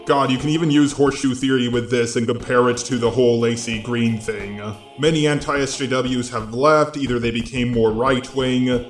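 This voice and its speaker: A man's voice: mid-range voice, echoey room. A synthetic robotic male voice reading scripted video essay commentary. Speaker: host of a video commentary channel. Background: faint background music.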